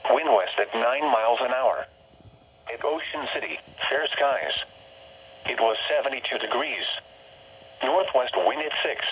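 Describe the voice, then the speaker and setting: Automated male weather announcer, American accent, mid pitch, formal and impersonal, synthetic delivery over dull-sounding radio. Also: a bit bright. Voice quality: synthetic text-to-speech voice. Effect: weather radio broadcast. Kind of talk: scripted weather bulletin readout. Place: broadcast received on a radio. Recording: muffled, noisy weather radio reception.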